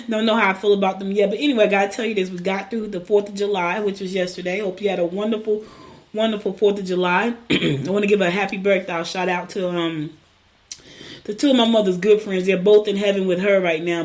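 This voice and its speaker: Raspy hoarse middle-aged lady from jersey, rambling on her morning show, keeps clearing her throat. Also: fairly low pitch. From Newark, New Jersey. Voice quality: raspy, scratchy voice. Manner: rambling, chatty, warm personal storytelling. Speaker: podcast host. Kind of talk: casual morning show chat.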